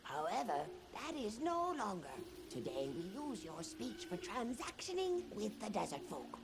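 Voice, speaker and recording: A woman's voice: medium pitch, sing-song, a little slow, background noise. A woman voicing a small, proud forest creature in a video game, a quaint trader with pompous, broken, theatrical speech. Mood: self-important. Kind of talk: video game character dialogue. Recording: game audio with loud background ambience.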